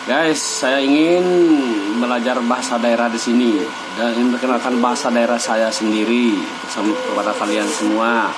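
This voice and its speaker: A young man from Sabu island in eastern Indonesia, slowly teaching words of his local language to camera and repeating each one. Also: mid-range voice, steady tone, background noise. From Sabu island, East Nusa Tenggara, Indonesia. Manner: slow, deliberate, pointing at his face and repeating words. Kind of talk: casual to-camera language lesson.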